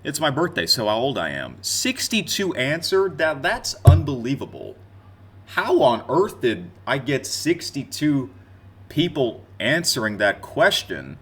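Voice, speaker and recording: A man's voice: mid-range voice, wildly swooping pitch, measured pace, fairly bright tone, breathy edge. A man in his twenties talking casually and off the cuff to his viewers, in a rambling, conversational year-in-review vlog style. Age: 20s. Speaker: gaming content creator and streamer.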